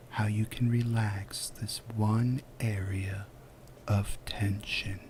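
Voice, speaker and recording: A man softly guiding a relaxation visualization in ASMR style, with a breathy, whispery voice and a soothing, unhurried delivery full of pauses. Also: lowish voice, slight background noise. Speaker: guided relaxation narrator. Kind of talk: soft-spoken ASMR guided visualization.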